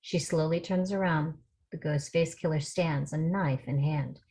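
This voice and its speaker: A woman with a very low voice reads out stage directions. She delivers them as scripted narration for a film table read. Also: fairly even intonation, measured pace, mellow.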